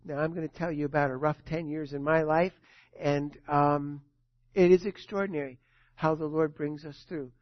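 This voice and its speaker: A man in his sixties preaches a sermon in a voice set a little high. He tells his stories in big dramatic swells and then drops to a hush. The sound is dull and very dry. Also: steady tone, normal speed, dry recording. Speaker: pastor.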